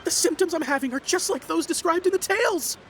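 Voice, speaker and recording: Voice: extremely high pitch, animated, fast, a little hiss or hum. Someone voicing a panicky, cursed young man. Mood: panicked. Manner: panicky, melodramatic, pleading. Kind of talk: game voice acting.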